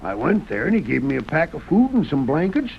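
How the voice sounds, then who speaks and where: High, creaky, anxious poor homesteader. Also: male voice, sing-song, brisk. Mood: anxious, earnest. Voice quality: creaky, rustic drawling speech. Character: poor, frightened homesteader father protesting his innocence. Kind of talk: 1930s radio western acting. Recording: muffled, faintly hissy 1930s radio recording.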